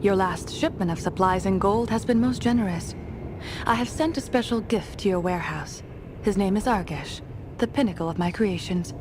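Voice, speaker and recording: Woman doing a haughty evil lady scientist game villain, menacing and theatrical, clear noise behind her. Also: medium pitch, normal speed, lightly acted. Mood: composed, sinister. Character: haughty, sadistic female mad scientist villain who creates monsters. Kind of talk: dramatic video game villain acting.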